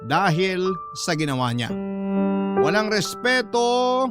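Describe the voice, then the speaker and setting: Higher-voiced male storyteller over loud music. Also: sing-song, takes it slowly. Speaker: radio drama narrator. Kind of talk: dramatic first-person letter reading. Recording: loud music bed under voice. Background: loud background music.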